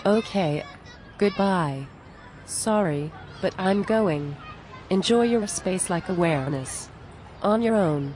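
A woman's voice: fairly low pitch, lively intonation, slow, darkish tone, slight background noise. A woman playing an exasperated, plain-spoken friend who pleads earnestly and reproachfully in scripted cartoon dialogue. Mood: disappointed, resigned.

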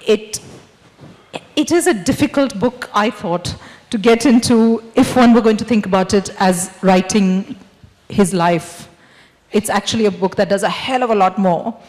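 A woman's voice: medium pitch, measured pace, darkish tone, long reverb tail, poor audio. A middle-aged woman moderating a literature festival panel, speaking articulately into a handheld mic on a large stage. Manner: articulate, framing questions. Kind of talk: panel discussion.

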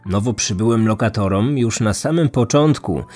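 A man's voice: lowish voice, normal speed, a little mellow. Man narrating true crime over music. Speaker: podcast host. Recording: music under the voice. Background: background music.

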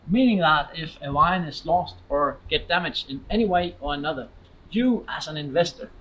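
A man's voice: fairly high pitch, fairly bright tone, rough recording. A man presents in English as a guide would. He explains things directly to the viewer in a scripted promotional style. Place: large indoor warehouse, walking.

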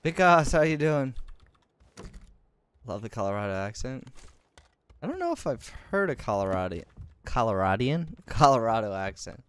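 A man's voice: mid-range voice, sing-song, normal speed, long pauses, clear, resonant tone. A man with a Philadelphia accent, streaming a game and chatting casually with teammates, joking and swearing when startled. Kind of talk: casual gaming chat.

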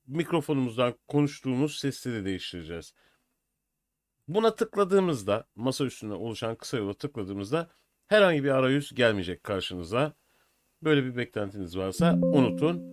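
An older man presenting a software tutorial in an informal, step-by-step way. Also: medium pitch, no echo, clean studio sound. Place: small indoor room at a desk. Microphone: USB computer microphone.

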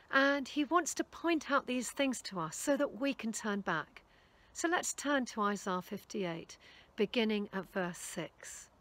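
A woman in her fifties, a charity volunteer speaker, giving an earnest, measured sermon outdoors in a garden on a lapel mic. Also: on the high side, a bit bright. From England. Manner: earnest, measured preaching with rising emphasis. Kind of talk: recorded sermon talk.

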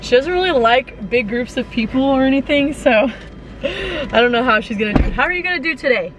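A woman's voice: high voice, expressive, brisk, distant mic, rough recording. A tired young woman, a family vlogger, chatting casually and rambling to the camera from a car, her voice worn and fading. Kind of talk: casual vlog chat to camera.

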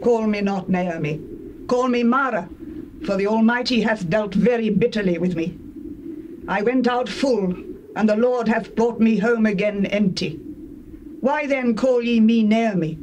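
An actress playing a sorrowful older Hebrew widow, tender yet bitter, in a slow, solemn Bible audio drama. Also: female voice, on the high side, a little mellow, lightly acted, low-quality recording. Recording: hissy old cassette tape.

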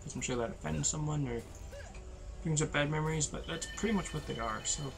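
A tired young man, losing his voice, giving low-energy, rambling commentary over a video game. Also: a little fast, vocal fry, music in the background. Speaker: gameplay commentator. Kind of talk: casual let's-play commentary.

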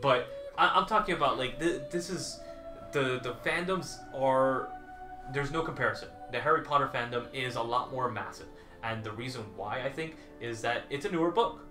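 A man's voice: mid-range voice, normal speed, music in the background. A man in his thirties arguing his side in a casual debate and laying out figures. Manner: lays out figures, argumentative. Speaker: debater for the Lord of the Rings side. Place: small indoor room full of movie memorabilia. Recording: echoey room.

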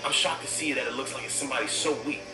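A man's voice: mid-range voice, very fast, natural voice. A man playing a smug, condescending video game final boss in a parody sketch, taunting and self-assured. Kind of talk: comedy sketch parody of game villain dialogue. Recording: roomy, loud music over voice.

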